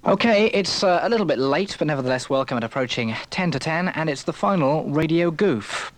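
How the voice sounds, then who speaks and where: A man's voice: a little high, animated, fairly quick. A man presenting live radio as a DJ, flustered and rambling. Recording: noisy old radio recording.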